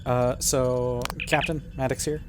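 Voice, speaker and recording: A man's voice: mid-range voice, fairly even intonation, measured pace. A man playing a tabletop game, chatting casually in a self-deprecating, joking way. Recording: noisy room background.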